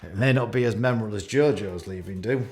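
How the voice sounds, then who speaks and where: A man's voice: lowish voice, quick pace, a little mellow, close to an everyday voice. A man playing an awkward, catty office boss with a British accent, giving a hesitant, rambling, jokey leaving speech in a radio play. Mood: fond, rambling. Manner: hesitant, repetitive, jokey with barbed asides.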